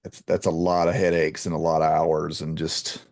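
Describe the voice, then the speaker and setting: Low-voiced man, casual and halting. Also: little pitch movement, darkish tone, no room sound. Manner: halting, repeats words as he thinks. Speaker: interview host. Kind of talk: casual chat.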